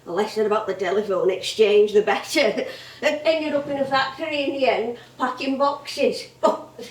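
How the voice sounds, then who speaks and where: Recorded from a distance in a roomy theatre, a woman plays an elderly Northern English music-hall actress. Her voice is high, and she rambles fondly and proudly, full of exclamations, in a stage-play style. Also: normal speed, close to an everyday voice. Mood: chatty, amused. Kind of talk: theatrical monologue-like reminiscing.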